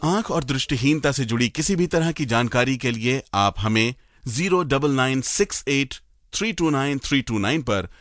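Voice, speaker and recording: A man's voice: medium pitch, a bit bright, no echo, clean studio sound. A man presenting a radio show, reading scripted links and information to listeners.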